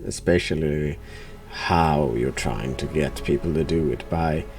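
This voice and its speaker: A man streaming a horror game, giving casual, dry, sardonic replies in a deadpan way. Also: very deep voice, measured pace, slight background noise. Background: music.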